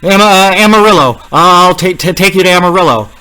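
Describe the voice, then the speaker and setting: A man playing a haunted, increasingly frantic cross-country driver in a suspense radio play, intense and dramatic, halting when agitated. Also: sits high, sharp tone, clear, resonant tone, rough recording. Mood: nervous, awkward. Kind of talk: suspense radio play acting with first-person narration.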